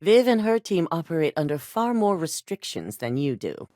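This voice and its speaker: A cool, commanding corporate executive. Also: female voice, low-pitched, big pitch swings, moderate pace, warm tone, no room sound, clean studio sound. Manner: clipped, controlled, coolly authoritative. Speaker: game character. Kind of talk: recorded game dialogue lines.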